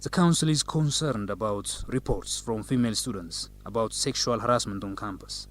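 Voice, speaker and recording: A man's voice: lowish voice, lightly acted. Dignified, formal young student leader, male actor. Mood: formal, assured. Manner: formal, measured, politician-like. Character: dignified young male law student, newly elected council president. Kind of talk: scripted educational radio drama, interview answers.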